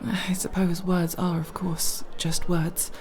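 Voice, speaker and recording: A woman's voice: on the low side, flat delivery, slow pace, darkish tone. A woman playing a nervous but proud young elf thief in an ASMR roleplay, speaking in formal, wordy, hesitant phrases. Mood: cool, persuasive.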